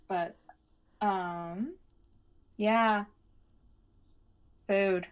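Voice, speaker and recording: A woman co-hosting a podcast, chatting casually about anime in a halting, wry way. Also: medium pitch, fairly even intonation, smooth.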